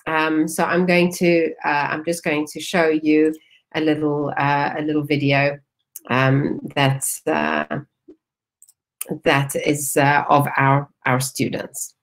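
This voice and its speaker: A woman with a lowish voice presents her school on a webinar over a video call. She often stops, fills gaps and restarts her sentences as she goes. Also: little pitch movement, slow. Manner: hesitant, many fillers and restarts.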